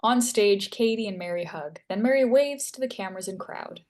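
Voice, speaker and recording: Medium-pitched woman narrator. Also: normal speed, darkish tone. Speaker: narrator reading stage directions. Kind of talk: scripted narration.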